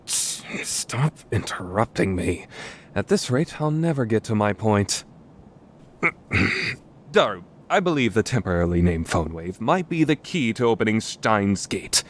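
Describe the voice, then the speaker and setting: A man playing a grandiose, theatrical young mad scientist in an anime visual novel fan dub, declaiming pompously and quick to take offence. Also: on the low side, very animated, a little hiss or hum. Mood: indignant, pompous. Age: young adult. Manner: pompous, declamatory, easily indignant.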